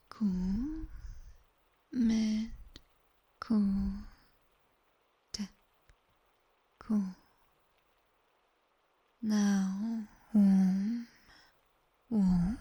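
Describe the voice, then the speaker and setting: A British woman playing a sharp-tongued nightmare demoness tutor in an ASMR roleplay, mostly whispering slow, commanding, dryly teasing instructions. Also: medium pitch, husky, full character voice. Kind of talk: ASMR roleplay, guided body scan.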